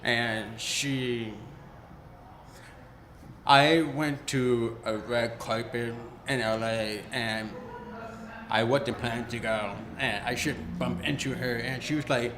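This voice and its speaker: Twenties male actor, halting answers, echoey room, faint background hum. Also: medium pitch, steady tone, a bit bright. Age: 20s. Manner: halting, asks questions to be repeated. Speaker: actor interviewed. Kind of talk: casual interview answers.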